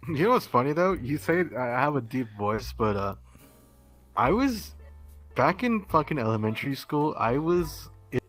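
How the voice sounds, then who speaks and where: Laid-back man with a deep voice. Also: big pitch swings, normal speed, a bit bright. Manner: laid-back, swears casually, rambling. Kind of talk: casual chat on a livestreamed podcast. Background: faint music.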